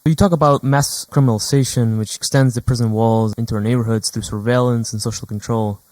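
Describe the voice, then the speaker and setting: A man co-hosts a radio program, reading prepared questions and narration quickly. His voice sits at a medium pitch. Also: fairly even intonation, a little mellow, no room sound. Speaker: radio show co-host and interviewer.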